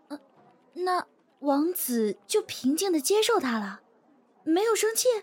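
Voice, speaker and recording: A woman's voice: very high voice, sing-song, measured pace, full character voice. A woman playing a catty office gossip in a modern audio drama, snide and insinuating. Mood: snide curiosity.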